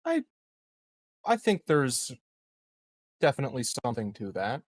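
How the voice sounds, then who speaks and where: A man plans aloud as a tabletop player. He speaks slowly at a medium pitch, in halting fragments, recorded close and dry. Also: very animated, dry recording. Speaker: player in a tabletop roleplaying game. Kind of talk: casual game-table planning.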